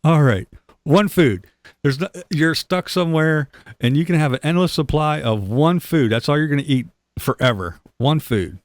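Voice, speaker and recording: Playful lively-voiced male host. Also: a little high, normal speed, no room sound. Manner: playful, joking, laughs easily. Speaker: podcast host. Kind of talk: casual interview chat.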